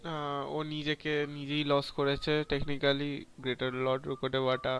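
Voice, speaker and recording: A man's voice: medium pitch, level tone, rapid-fire, fairly bright tone, slight background noise. A man giving casual live commentary as a game streamer. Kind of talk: casual live commentary mixing Bengali and English. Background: game music.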